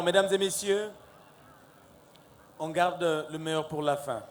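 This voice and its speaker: A man hosting a televised talk panel. He draws his questions out and gets lively when he announces what comes next. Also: a little high, a little hiss or hum. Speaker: talk-show host. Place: television studio set.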